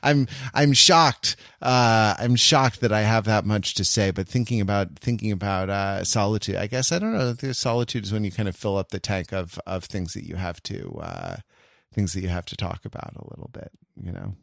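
A man hosting a podcast in casual, reflective chat, rambling and halting as he circles back over his phrases. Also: on the low side, creaky, fairly bright tone, no echo, studio quality. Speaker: podcast host.

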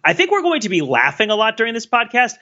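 A man's voice: sits high, wildly swooping pitch, fast, pushed and bright. A man co-hosting a podcast in casual chat, teasing his partner in a playfully earnest way. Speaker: podcast co-host. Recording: dull lo-fi sound.

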